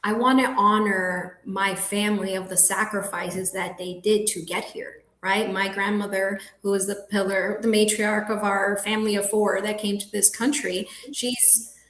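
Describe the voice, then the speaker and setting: A woman from Colombia, Spanish as her first language, speaking earnestly at a middle pitch, laughing now and then and restarting lines as she goes. Her voice rings in a roomy, echoey space. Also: flat delivery, normal speed, a bit bright. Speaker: guest, realtor. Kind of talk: casual interview talk. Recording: echoey room.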